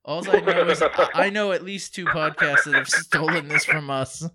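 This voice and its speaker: A man's voice: fairly high pitch, fairly even intonation, moderate pace, a crowd in the background. A man in his thirties co-hosting a podcast, telling jokey stories and swearing freely. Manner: joking storyteller, swears freely. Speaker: podcast co-host. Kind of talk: casual podcast banter.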